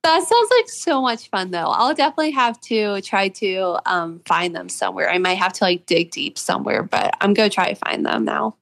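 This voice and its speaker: A woman's voice: medium pitch, lively intonation, moderate pace, creaky, few pauses, a bit bright. A young woman talking as an author in a casual interview, earnest and upbeat.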